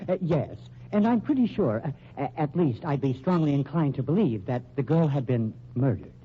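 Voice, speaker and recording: A man's voice: a little high, lively intonation, moderate pace, a little hiss or hum, close to an everyday voice. An actor playing a timid, fussy, formal married man who speaks hesitantly in an old radio drama. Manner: hesitant, fussy, formal, spells out his name. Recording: muffled, humming old radio recording.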